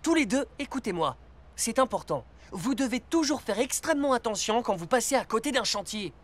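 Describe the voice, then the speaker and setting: A man's voice: very high voice, sing-song, brisk. A man voicing a serious adult instructor in a cartoon, lecturing about danger. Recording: cartoon soundtrack with music.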